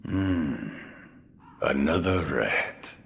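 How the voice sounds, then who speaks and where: An actor playing a gruff old swordsman in a game cutscene, sly and relishing, with sudden loud outbursts. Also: extremely low pitch, lively intonation, very slow, slight background noise, full character voice. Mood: gruff theatrical. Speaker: mentor recruiting the hero. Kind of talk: video game cutscene acting.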